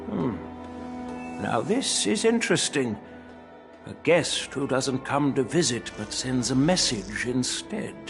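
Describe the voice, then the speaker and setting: An actor playing a shrewd, amused elderly general in an anime game, with a wry, theatrical, deliberate delivery. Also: male voice, mid-range voice, sing-song, gravelly, music in the background, full character voice. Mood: wry amusement.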